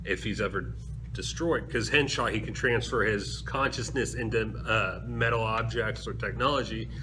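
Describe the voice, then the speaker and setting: A man's voice: on the low side, moderate pace. A man hosting a geek web show, presenting in a casual, conversational style. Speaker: host of a comics web show. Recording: noisy amateur video recording.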